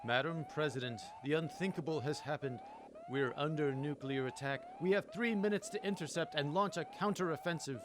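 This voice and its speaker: A man's voice: a little high, fairly quick, noisy background, lightly acted. A man playing a stern military General in a radio play, speaking formally and with urgent command. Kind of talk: semi-comedic radio play acting.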